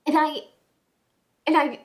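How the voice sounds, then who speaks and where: A woman playing a defensive, cracking young woman under interrogation in a dramatic monologue, scattered, halting and pleading, close to breaking down. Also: high-pitched, fairly even intonation, pitched above the performer's own voice. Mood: bitter, hurt. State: distraught, breaking into tears.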